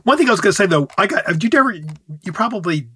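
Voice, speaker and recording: Husky-voiced man in eager chat. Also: high-pitched, animated, fast talker, pushed and bright, no echo. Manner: enthusiastic, rambling with restarts. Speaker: podcast co-host. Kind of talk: casual chat about words.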